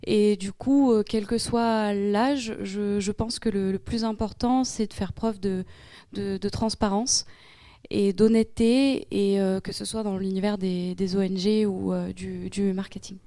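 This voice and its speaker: A woman's voice: mid-range voice, steady tone, measured pace, warm tone, no room sound. A young French woman speaking in a classroom debate, hesitant with many fillers. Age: young adult. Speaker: student in a debate.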